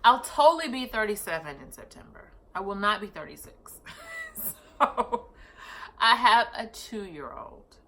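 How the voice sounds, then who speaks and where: A woman's voice: medium pitch, big pitch swings, unhurried, fairly bright tone. A woman in her thirties telling a personal story on her vlog, chatty and casual, often correcting herself mid-sentence. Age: 30s. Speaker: vlogger.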